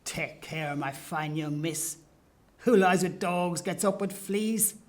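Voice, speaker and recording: A man playing a menacing soldier who hunts fugitives in a period audio drama, taunting and mocking in a deliberate manner. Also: fairly high pitch. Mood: sneering, gloating.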